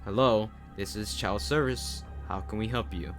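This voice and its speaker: A man voicing a calm male child services agent on the phone who gives steady instructions. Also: normal speed, faint music behind, close to an everyday voice. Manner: calm, reassuring, lowered voice.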